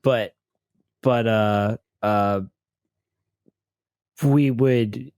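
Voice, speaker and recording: A man's voice: lowish voice, steady tone, extremely slow, darkish tone, smooth, no echo. A man playing a tabletop role-playing game, casually improvising and repeating words as he thinks aloud. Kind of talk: casual in-character improvised chat.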